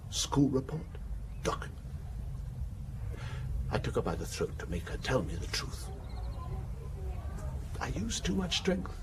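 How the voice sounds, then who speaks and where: An actor playing a rich, wronged man making an anguished confession in a radio drama, in halting, clipped phrases. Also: mid-range voice, noisy background. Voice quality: wheezy.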